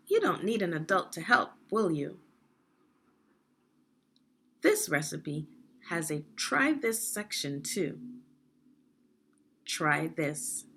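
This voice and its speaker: A woman reading aloud a children's instructional book like a teacher, phrasing deliberately and putting prompting questions to young readers. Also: on the low side, husky. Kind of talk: read-aloud guided reading lesson.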